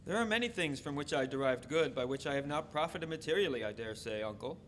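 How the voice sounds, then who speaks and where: Man playing a lively, cheerful young gentleman. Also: mid-range voice, quick pace, natural voice. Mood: earnest. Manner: hearty, earnest, good-natured. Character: cheerful, warm-hearted young gentleman, miser's nephew. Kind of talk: old-time radio drama acting.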